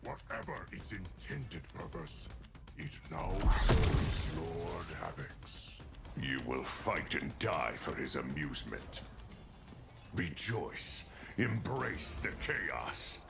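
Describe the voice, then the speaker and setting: An actor playing a menacing henchman of a warlord who revels in chaos, with slow, commanding delivery in a video game. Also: deep voice, big pitch swings, gravelly, full character voice. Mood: menacing gloating. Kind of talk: dramatic video game villain acting. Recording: loud music over the voice.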